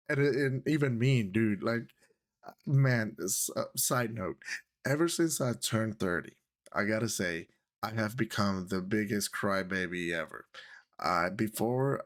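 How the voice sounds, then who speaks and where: A man in his thirties with a Puerto Rican accent, chatting casually to his viewers and rambling a little. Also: on the low side. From Puerto Rico. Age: 30s. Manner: chatty, rambling, switches into Spanish phrases. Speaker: YouTube creator. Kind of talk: casual talk to camera.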